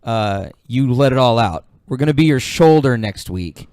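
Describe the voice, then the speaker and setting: A man's voice: mid-range voice, lively intonation, normal speed, a little mellow, clear, resonant tone, dry recording, clean studio sound. A man hosting a podcast in casual, informal chat, swearing freely. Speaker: podcast host.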